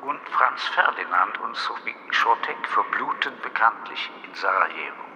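A man's voice: fairly low pitch, expressive, normal speed, sharp tone. A man reciting scripted lines as one narrator in an audio drama. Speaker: chorus narrator. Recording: long reverb, faint outdoor street noise.

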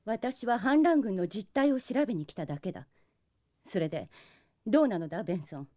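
Proud warrior princess, female actor: medium pitch, dry close sound, cool authority, fantasy drama. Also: expressive, a little fast, dark tone, dry recording, natural voice. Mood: cool, disdainful. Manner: cool, commanding, masculine phrasing. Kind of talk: Japanese fantasy audio drama acting.

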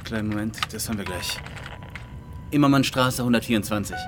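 An actor playing a businesslike junior police officer, clipped and matter-of-fact, in a radio crime drama. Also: male voice, on the low side, brisk, noisy background, lightly acted. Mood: focused, businesslike. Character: businesslike male police technician, an obedient subordinate.

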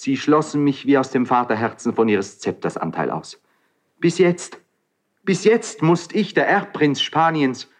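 A man playing an ardent, hot-blooded young prince in classical verse radio drama, declaiming with passion. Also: medium pitch. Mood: bitter, reproachful. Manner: impassioned, declamatory.

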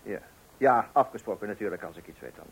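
A man's voice: fairly low pitch, animated, fast talker, husky, heavily acted, low-quality recording. Flustered father, voice swinging widely. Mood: harried. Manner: hesitant, stammering, exasperated. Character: flustered, weary father awaiting a birth at night. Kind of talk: radio play acting.

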